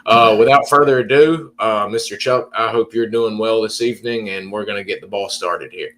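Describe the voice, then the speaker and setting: A man's voice: fairly low pitch, sharp tone. A man hosting an interview podcast, rambling, stopping and restarting often as he asks his questions. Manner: rambling, halting, full of restarts and fillers. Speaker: podcast host.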